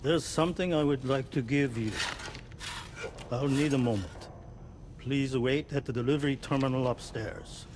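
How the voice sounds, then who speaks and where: An actor playing an intense, proud pizza chef in a video game, speaking in a grave, formal, theatrical manner. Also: male voice, medium pitch, moderate pace, pauses often, noisy background, lightly acted. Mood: reserved, polite. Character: intense, solemn male pizza chef with fanatical pride. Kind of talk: video game cutscene acting.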